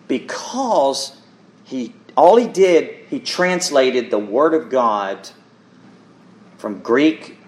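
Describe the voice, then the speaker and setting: A man with a mid-pitched voice preaches from scripture. He is earnest and emphatic, repeating key words to drive them home and turning to his listeners with short rhetorical questions. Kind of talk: sermon with scripture reading.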